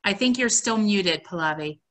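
A woman with a bright, sharp voice moderates a panel over a video call. She sounds upbeat and enthusiastic as she thanks speakers and hands over. Her pitch sits in the middle of the range. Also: animated, normal speed. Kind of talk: webinar moderation.

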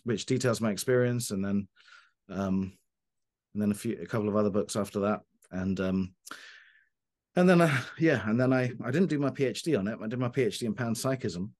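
A man in his forties talks on a video call from a home study full of books. His voice sits low. He speaks haltingly, restarting phrases and leaning on fillers as he thinks aloud. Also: darkish tone. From Cornwall, England. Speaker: interview guest, philosopher. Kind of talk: casual video call interview. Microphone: video call webcam.

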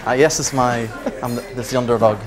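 A young man, a wine expert on a TV show, pitching wines and arguing back in a quick, cheeky way. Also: steady tone, loud music behind the voice. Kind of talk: competitive pitch and debate. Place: TV studio kitchen set. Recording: TV studio with audience noise.

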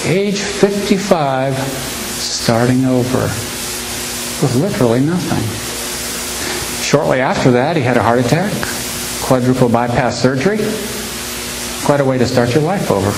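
A man in his fifties giving a humorous speech to a live audience, telling stories with timed pauses and punchlines. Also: medium pitch, lively intonation. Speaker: humorist speaker. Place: large hall with an audience. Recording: echoey hall recording with hiss.

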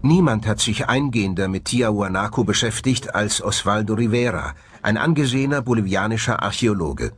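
A man's voice: fairly low pitch, expressive, a little fast, husky. A man presenting a documentary in scripted narration.

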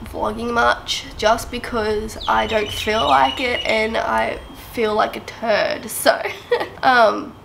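A young woman talks casually to a close-held camera, her voice thick and nasal from a head cold. Her pitch sits a touch high, and a gravelly fry creeps into her words as she rambles on with plenty of "um" and "I mean, like.". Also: steady tone, slow, a bit bright, slight background noise, rough recording. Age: young adult. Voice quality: nasal, congested. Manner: chatty, rambling, many fillers like "um" and "I mean, like". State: sick with a blocked nose and sore throat. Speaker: vlogger. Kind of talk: casual to-camera vlog talk. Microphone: camera close up at arm's length.

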